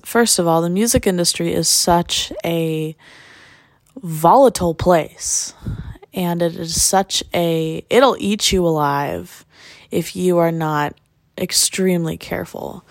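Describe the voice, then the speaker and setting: A woman hosting a casual solo podcast while sick, with a raspy voice, rambling and joking at her own expense. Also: lowish voice, a little mellow, dry recording, studio quality. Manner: rambling and self-deprecating with jokes. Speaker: podcast host; music producer.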